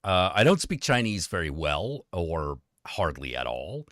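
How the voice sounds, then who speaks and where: A man hosting a casual chat, rambling and lively, laughing easily. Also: low-pitched, unhurried, dry recording, studio quality. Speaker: host.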